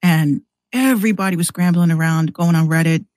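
A woman guesting on a podcast, chatting and telling stories casually in a slangy, halting way with repeated words. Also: on the low side, a little mellow, no room sound, studio quality. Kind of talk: casual chat, storytelling.

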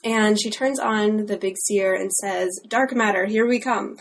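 A woman with a medium-pitched voice acts as interviewer. She speaks conversationally, setting up her questions for the guest. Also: unhurried, slight background noise.

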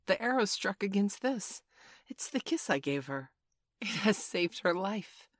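Storybook boy captain female reader, proud, stern, emphatic, pitched up. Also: animated, a little slow, dry recording, clean studio sound. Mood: relieved. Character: proud, commanding young boy leader, cocky and stern.

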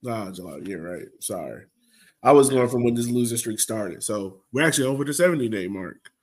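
A man hosts a casual soccer fan podcast in an average-pitched voice. He talks bluntly and sounds frustrated as he breaks down his team's play. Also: animated, fairly quick. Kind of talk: casual sports recap discussion.

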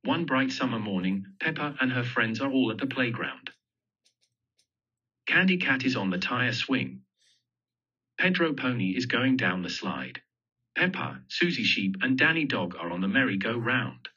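A man's voice: mid-range voice, lively intonation, unhurried, pushed and bright, breathy edge. A man reading a children's picture book aloud, telling the story simply and expressively. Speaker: storybook reader. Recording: dull lo-fi audio.

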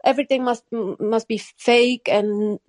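A woman's voice: on the high side, fairly even intonation, a little slow, darkish tone, no room sound. A woman from Morocco, raised in the Netherlands, talking earnestly in a casual chat and often repeating and restarting her phrases.

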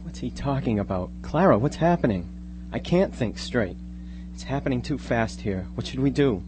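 A man's voice: medium pitch, lively intonation, normal speed, noisy background, close to an everyday voice. A man playing an anxious, doubting teenage boy in a radio drama, fretful and full of pleading questions. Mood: panicked. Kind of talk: live amateur radio drama acting.

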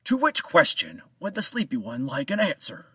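A man voicing a mysterious old island keeper who speaks in slow, measured riddles. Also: fairly high pitch, huge pitch swings, bright, forceful tone, breathy edge, no echo, studio quality, far from the mic, voice pushed up, exaggerated voice. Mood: calm, cryptic.

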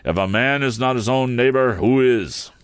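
Sanctimonious drawing-room gentleman, read by a male narrator; arch, deliberate delivery. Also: medium pitch, clean tone, dry recording, pitched above the performer's own voice, natural voice. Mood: smug. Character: smug, sanctimonious middle-aged gentleman. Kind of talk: audiobook character reading.

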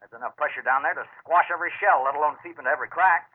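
Stubborn ship captain, higher-pitched, tinny radio male actor. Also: rapid-fire, natural voice. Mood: insistent. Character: stubborn, daring salvage ship captain, commanding and confident. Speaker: actor in a radio suspense drama. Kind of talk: old-time radio acting. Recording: tinny narrow-band vintage radio recording.

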